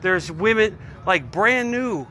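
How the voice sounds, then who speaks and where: A middle-aged man rambling excitably and swearing casually during a live phone stream outdoors at a storage unit. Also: high-pitched, slow, slight background noise. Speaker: vlogger. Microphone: phone at arm's length.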